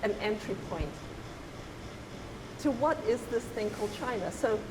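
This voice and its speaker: A middle-aged woman from Zimbabwe, an artist talking reflectively, pausing often and restarting her thoughts. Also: a little high, expressive. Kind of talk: reflective conversational talk. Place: gallery. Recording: roomy hall with hiss.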